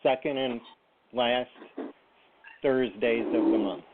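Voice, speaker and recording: A man's voice: mid-range voice, slow, creaky, background noise. A man from upstate New York hosting a casual talk show, easygoing as he steers the talk. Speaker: host. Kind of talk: casual chat. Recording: phone-like call audio.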